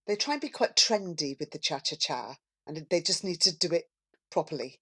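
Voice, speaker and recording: A woman's voice: low-pitched, animated, fairly quick, fairly bright tone, slightly rough. A woman chatting casually as a podcast panellist. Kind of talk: casual chat.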